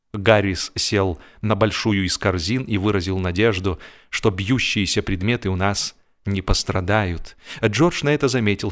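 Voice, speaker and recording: Guy reading a funny audiobook, kinda low voice, expressive and theatrical delivery. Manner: expressive, acts out the dialogue. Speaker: audiobook narrator. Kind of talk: scripted dramatic narration of humorous fiction.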